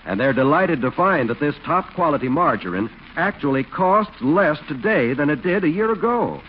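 A man's voice: on the high side, big pitch swings, normal speed. A man announcing and narrating from a script in polished, emphatic 1940s radio style. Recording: muffled 1940s radio recording with hiss.

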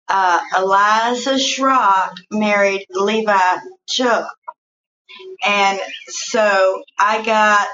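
A woman with a Southern US accent, a guest telling her life story slowly in a casual interview, with frequent fillers. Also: mid-range voice, highs cut off. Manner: slow, warm storytelling with fillers.

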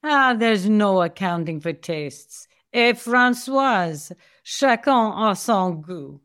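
A woman's voice: mid-range voice, expressive, slow pace, fairly bright tone. A woman playing a disdainful, fussy female cat in an audio play, haughty and precise.

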